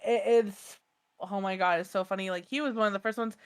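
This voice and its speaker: A young woman co-hosting a podcast, loud-voiced and blunt, swearing freely and acting out stories in casual chat. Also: mid-range voice, fairly even intonation, fairly quick, clean tone. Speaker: podcast co-host.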